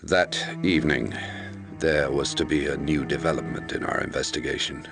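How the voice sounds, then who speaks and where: Very deep, gravelly investigator narrator. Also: male voice, steady tone, normal speed. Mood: brooding. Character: sombre male investigator recounting a murder case. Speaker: narrator and investigator in a mystery game. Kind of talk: scripted noir-like narration. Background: loud background music.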